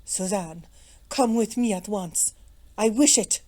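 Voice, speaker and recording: Storybook countess, played by a female narrator: older, icily commanding, rigid, with a soft mellow tone. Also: medium pitch, takes it slowly. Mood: peremptory command. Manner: rigid, peremptory.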